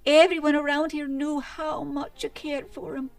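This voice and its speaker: Casting: woman voicing a chatty, flustered village gossip, high bright voice, music underneath. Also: little pitch movement, moderate pace. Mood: urgent. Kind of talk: old-time radio drama acting.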